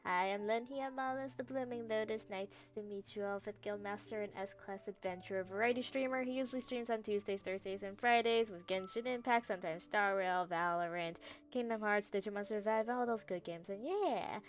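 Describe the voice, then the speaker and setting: A woman's voice: mid-range voice, a little fast. A woman streaming games, chatting casually and easygoingly with playful asides to her viewers. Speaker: variety game streamer. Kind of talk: casual livestream chat and reactions. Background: background music.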